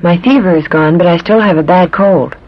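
A man with a notably high voice reads practice sentences one after another, clear and careful. The recording has the dull, muffled tone of an old teaching tape. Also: a little fast. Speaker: drill speaker on language tape. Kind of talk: reading English drills.

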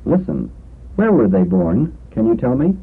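A man slowly and carefully reading drill sentences for a language lesson. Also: mid-range voice, big pitch swings, slightly rough, phone-quality sound.

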